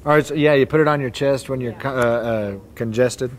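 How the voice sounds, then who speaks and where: A man's voice: mid-range voice, normal speed. Man reading family stories aloud. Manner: reads plainly, breaks off to chuckle and comment. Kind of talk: casual reading aloud to relatives. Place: hotel atrium at a family reunion.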